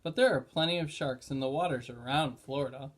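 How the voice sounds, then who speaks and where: A man's voice: medium pitch, brisk, close to an everyday voice. A man voicing an earnest, resolute boy in a children's mystery storybook. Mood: matter-of-fact.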